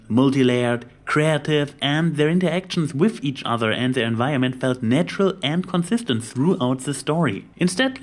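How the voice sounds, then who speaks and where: A man narrating a scripted review as its host. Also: medium pitch.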